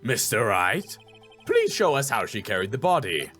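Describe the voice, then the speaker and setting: A man's voice: medium pitch, sing-song, moderate pace, a bit bright, soft background music, voice pushed down. A man voicing a solemn, slightly baffled elderly judge in a video game, speaking in a deliberating way.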